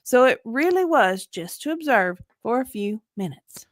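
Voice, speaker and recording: A woman's voice: a little high, very animated, unhurried, no echo, studio quality. A woman reading the part of a guarded, evasive witness in a dramatic deposition reading, with careful, hedging answers. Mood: evasive, earnest. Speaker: dramatic reader.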